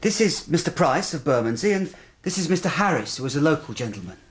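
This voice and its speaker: An actor in a radio drama playing an earnest, anxious young English clerk, polite and plain-spoken. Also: male voice, on the high side, sing-song, quick pace. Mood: concerned, polite. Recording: radio drama with clear background noise.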